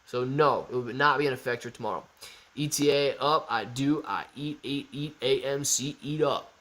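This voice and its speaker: A man in his thirties hosting a live stock market Q&A, reading viewer chat with playful, animated banter. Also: mid-range voice, moderate pace. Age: 30s.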